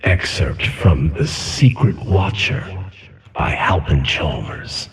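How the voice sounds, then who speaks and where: A man announcing a radio drama from a script in a measured, formal way. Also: a bass voice, sing-song, husky. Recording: loud guitar music under voice.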